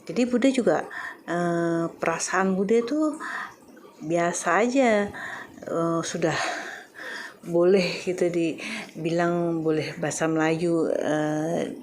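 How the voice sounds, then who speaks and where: Slow, hesitant Indonesian woman with Javanese accent. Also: fairly low pitch, darkish tone, slight background noise. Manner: hesitant, many filler "eh" pauses. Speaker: vlogger. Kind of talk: casual chat to viewers.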